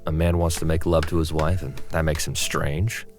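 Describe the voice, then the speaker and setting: A man's voice: a bass voice, little pitch movement, moderate pace, darkish tone, soft background music. A man voicing a possessive, controlling husband who lectures his wife. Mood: teasing. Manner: insistent, lecturing.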